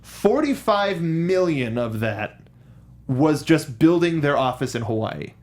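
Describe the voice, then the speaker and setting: A man co-hosting a movie show, giving conversational commentary in an animated way and acting out imagined quotes. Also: a little high, slow. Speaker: co-host of a movie discussion show.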